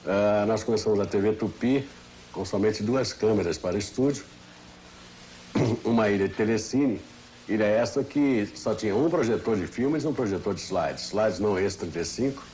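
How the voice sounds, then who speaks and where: Low-voiced older Brazilian man explaining. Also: normal speed, darkish tone. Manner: didactic, detailed. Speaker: veteran television engineer. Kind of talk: technical explanation in interview. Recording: faint noise, old TV broadcast.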